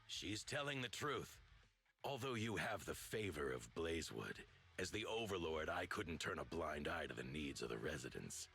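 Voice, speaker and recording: An actor playing a stern, dignified overlord of a motor league in a video game, speaking in a commanding, formal way. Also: male voice, very deep voice, very animated, moderate pace, gravelly, pushed and bright, husky, exaggerated voice. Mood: measured, authoritative.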